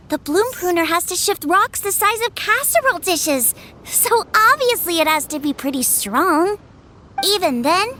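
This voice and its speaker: A practical, cheerful teenage girl from a video game. She has a high, lilting voice and explains things in an encouraging, matter-of-fact way. Faint ambience runs underneath. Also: fairly bright tone, a little hiss or hum, full character voice. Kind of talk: animated video game acting.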